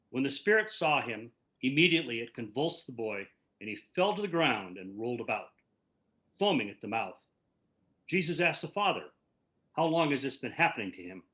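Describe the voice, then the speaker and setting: Bright, forceful man reading scripture. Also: medium pitch, poor audio. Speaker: scripture reader. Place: at home, on a video call. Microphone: video call.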